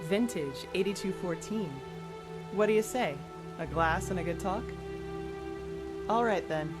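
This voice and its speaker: A woman's voice: lowish voice, measured pace, loud music behind the voice, lightly acted. A woman playing a frank, worldly adventurer in a fantasy game, talking in a casual, wry and blunt way. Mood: friendly, wry. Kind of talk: scripted fantasy video game dialogue.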